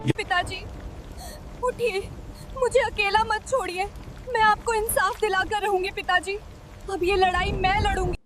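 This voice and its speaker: Here is a woman acting as a brave, quick-witted village girl from a moral tale. Her voice is high and youthful, respectful yet firmly determined, with soft music underneath. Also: steady tone. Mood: grieving, resolute. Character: brave, clever young village farmer's daughter. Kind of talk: dramatized moral story dialogue.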